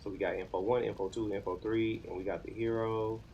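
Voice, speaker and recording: A man's voice: fairly low pitch, little pitch movement, a little fast, mellow, slight background noise. An American man in his thirties chatting casually on a live stream, informally thinking aloud as he works. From United States. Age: 30s. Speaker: live streamer learning web development.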